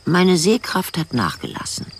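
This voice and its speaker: An actress playing a plainspoken, wry old village woman in a radio drama, with a deep, often hushed and breathy voice. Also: big pitch swings, a little slow, a little hiss or hum. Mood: reflective. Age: older. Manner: matter-of-fact, dryly blunt. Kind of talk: radio drama first-person narration and dialogue.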